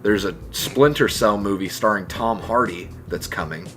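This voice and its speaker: A man's voice: fairly low pitch, normal speed, fairly bright tone, faint music behind. A man talking casually and emphatically on a discussion podcast, giving his opinions. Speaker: podcast co-host.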